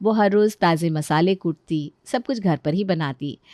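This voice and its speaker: A woman's voice: fairly low pitch, moderate pace, a little mellow, no echo, studio quality. A woman narrator reading a scripted story.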